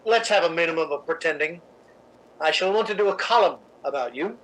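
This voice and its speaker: An actor playing a suave, cynical, scheming theatre critic in a radio drama, with an arch, measured, theatrical delivery. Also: male voice, fairly high pitch, lively intonation, fairly bright tone, rough recording. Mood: smooth, probing. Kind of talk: old-time radio drama narration and acting.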